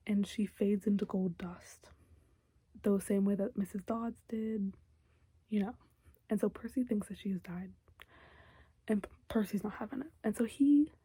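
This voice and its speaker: A young woman giving a casual, chatty recap of a TV episode in a soft whisper, close to the mic, with lots of fillers. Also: medium pitch, husky, no echo.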